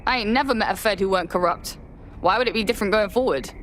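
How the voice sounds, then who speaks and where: An actress playing a cynical, streetwise young rebel in a video game, cheeky and slangy. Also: female voice, medium pitch, fast, a bit bright, slight background noise, close to an everyday voice. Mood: mocking.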